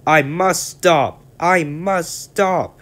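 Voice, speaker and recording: A man's voice: on the high side, takes it slowly, pitched above the performer's own voice. Man playing an eager cheeky little engine. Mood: panicked. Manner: excited, bright, breathless.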